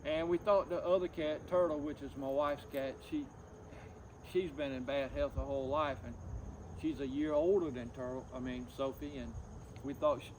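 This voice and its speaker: A middle-aged man talking personally to camera, subdued and grieving, in a halting, plain-spoken, folksy way. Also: a little high, steady tone, noisy background. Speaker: outdoors vlogger.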